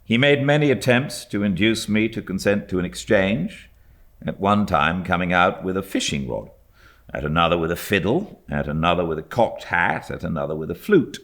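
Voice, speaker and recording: A British man reading classic literature aloud as an audiobook narrator, with expressive storytelling delivery. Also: low voice, normal speed. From Britain.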